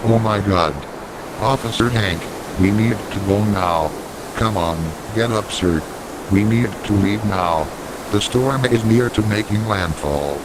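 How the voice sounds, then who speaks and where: A man's voice: lowish voice, measured pace, close to an everyday voice. A man playing an urgent police officer in an amateur audio drama. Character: urgent male police officer at a storm scene. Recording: loud wind and surf noise.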